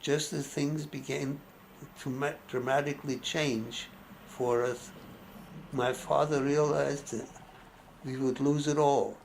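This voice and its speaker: Slow, gravelly man in his nineties. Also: mid-range voice, fairly even intonation, a little hiss or hum, rough recording. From Austria. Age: 90s. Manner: halting, restarts words mid-phrase. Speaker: memoir narrator. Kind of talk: reading a personal life story aloud.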